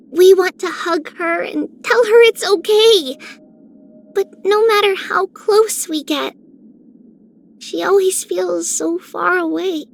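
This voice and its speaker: A woman's voice: very high-pitched, a bit bright, full character voice. A woman playing a caring, sweet and innocent little girl in a video game. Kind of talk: scripted character voicelines.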